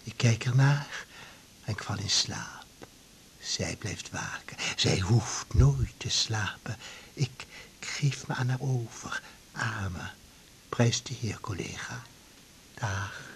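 A man's voice: on the low side, slow, in a whisper. A man acting a muddled, addicted doctor in a radio drama, stammering and dreamy, with childlike piety and florid wording. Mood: dreamy, devout. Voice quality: husky, creaky. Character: rambling, dishevelled addicted male doctor, childlike and pious.

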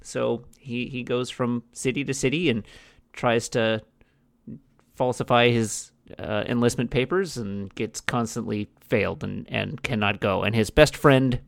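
Gravelly young man, dry co-host. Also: medium pitch, a little slow, dry recording. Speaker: podcast co-host. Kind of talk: casual movie podcast chat and plot recap.

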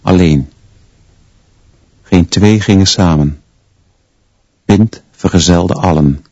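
A man's voice: low voice, slow, dark tone, dry recording, dull audio, full character voice. An actor playing a sober male teacher who narrates a radio drama in terse, short phrases. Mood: sober, grave. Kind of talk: scripted first-person radio drama narration.

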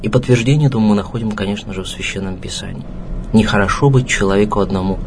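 A man giving a reflective spoken tribute in measured, solemn phrases with pauses. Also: lowish voice, noisy background. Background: music behind the voice.

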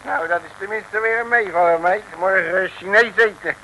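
An actor playing a blustering, grumbling detective in a radio serial, excitable and folksy in his speech. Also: sits high, moderate pace, poor audio. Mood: astonished. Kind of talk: 1950s-60s radio serial acting. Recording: old radio recording, faint noise.

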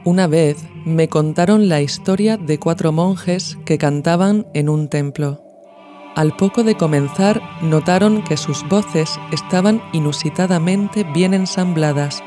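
A man from reads a scripted literary audio essay in a measured, formal way. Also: a little high. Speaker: podcast narrator. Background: music.

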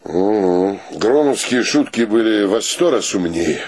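An actor playing a sentimental, excitable bachelor friend in a radio play, gushing and moaning theatrically. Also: male voice, on the low side, slow. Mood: mournful, peevish. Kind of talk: theatrical radio drama acting.

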